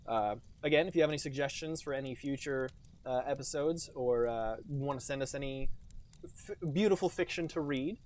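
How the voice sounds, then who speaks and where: A man's voice: medium pitch, fairly quick, darkish tone. A young adult man co-hosting a casual podcast, cracking jokes with mock-grandiose asides. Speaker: podcast co-host. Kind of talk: casual chat.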